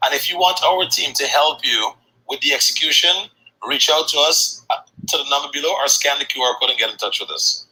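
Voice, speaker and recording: Brash salesy man, bright forceful voice. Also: a little high, fairly quick, poor audio. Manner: brash, salesy, teasing, curses casually. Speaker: host of a sales session. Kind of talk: motivational sales talk.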